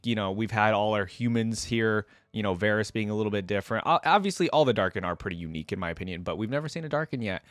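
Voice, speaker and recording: A man's voice: fairly low pitch, no room sound. A man reacting off the cuff in a casual, tentative way as the host of a reaction video.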